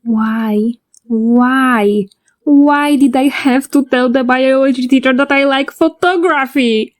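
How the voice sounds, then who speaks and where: A woman's voice: sits high, slow pace. A woman voicing an exasperated young teenage schoolgirl in a comic dub, fretful and plaintive. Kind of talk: fandub acting.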